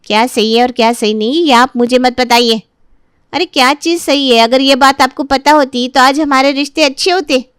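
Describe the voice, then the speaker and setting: High-voiced resentful young daughter-in-law, acted by a woman. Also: normal speed, fairly bright tone, clean tone, dry recording, clean studio sound. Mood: curt, angry. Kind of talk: dramatized Hindi family story acting.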